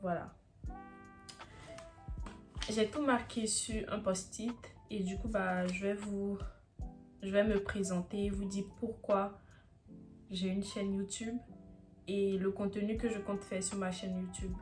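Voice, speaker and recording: An eighteen-year-old woman from Ivory Coast with an Ivorian French accent, talking to the camera in a casual vlog and often pausing to search for words. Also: medium pitch, flat delivery, darkish tone, soft background music. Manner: hesitant, searching for words, many fillers. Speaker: YouTuber.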